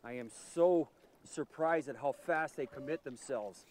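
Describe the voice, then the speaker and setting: A middle-aged man hosting a fishing show, commenting with excitement while he fights a fish from a boat on a lake. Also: mid-range voice, a little slow, a little hiss or hum. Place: outdoors on a lake, in a pontoon boat.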